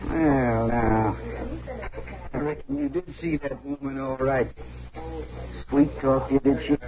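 A man's voice: mid-range voice, steady tone, takes it slowly, background noise, natural voice. Saloon-keeper villain, male actor, mid register, mean, sly, taunting swagger; 1950s radio western with narrow-band sound.

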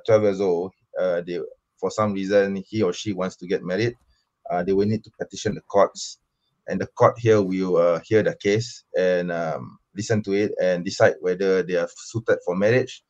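A man from Malaysia with a very low voice argues his position in an online debate. He talks insistently, full of fillers and restarts. The audio is dull and lo-fi. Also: fairly even intonation, normal speed, darkish tone. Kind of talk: online debate answering questions.

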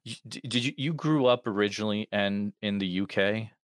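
A man's voice: on the low side, brisk, no echo, clean studio sound. A man from New England who hosts, an eager listener who chats casually and fills his speech with "you know" and "like". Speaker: host. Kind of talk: conversational interview.

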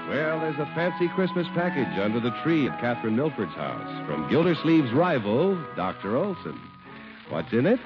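A man's voice: medium pitch, big pitch swings, moderate pace, music in the background. An American man reading scripted sponsor announcements and narration as a 1950s radio announcer. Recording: dull old radio broadcast recording.

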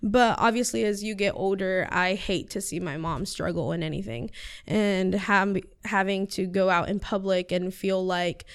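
Earnest teenage girl, very clear voice. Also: mid-range voice, steady tone, moderate pace. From United States. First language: Spanish. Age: teens. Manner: earnest, many fillers and restarts. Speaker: high school student guest. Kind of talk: interview answers.

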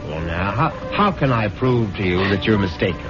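An American man playing a smooth, scheming, greedy husband in a suave, wry, theatrical style of 1970s radio drama. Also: on the low side, very animated, moderate pace. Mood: placating. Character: smooth, scheming, greedy husband plotting his wife's murder. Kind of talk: 1970s radio drama acting with first-person narration. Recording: dull vintage radio recording with music.

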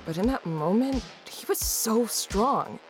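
A woman's voice: medium pitch, animated, slow pace. A woman playing a defiant, foul-mouthed, incredulous young daughter in a horror-comedy audio drama. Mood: indignant recounting. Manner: sarcastic, incredulous. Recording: prominent music underneath.

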